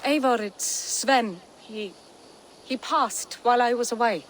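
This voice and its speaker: An actress playing a gentle, doubtful young Viking apprentice in a video game cutscene, with a soft, halting, earnest delivery. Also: female voice, on the high side, slight background noise, low-quality recording. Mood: grieving, unsure.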